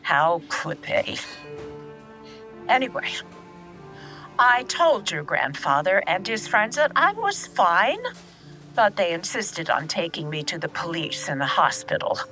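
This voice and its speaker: Slow-spoken, candid elderly grandmother. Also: fairly low pitch, sing-song, pushed and bright, soft background music, full character voice. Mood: somber, reflective. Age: older. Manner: reflective, measured storytelling. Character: elderly grandmother recalling her past, candid and steady. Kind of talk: audio drama acting.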